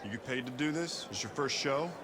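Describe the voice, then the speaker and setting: An actor playing an arrogant, contemptuous heavyweight boxer taunting a rival in a video game. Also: male voice, medium pitch, lively intonation, a little fast, very noisy, lightly acted.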